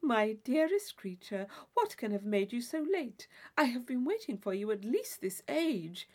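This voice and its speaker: A woman's voice: fairly high pitch, sing-song, a little slow, darkish tone. A woman voicing a gushing, theatrical young society lady in a classic novel reading, effusive, affected and intense. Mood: gushing, chatty.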